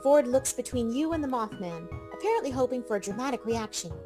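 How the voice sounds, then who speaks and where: A woman with a fairly high voice reads scripted narration for a visual novel. She tells the story in the second person over clearly audible music. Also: lively intonation, fast. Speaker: narrator and player character reader.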